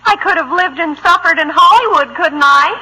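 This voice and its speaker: High, bright melodramatic American teenage daughter. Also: female voice, moderate pace, heavily acted, rough recording. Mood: melodramatic despair. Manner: theatrical, plaintive. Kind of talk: 1950s American radio sitcom acting. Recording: bright old radio recording.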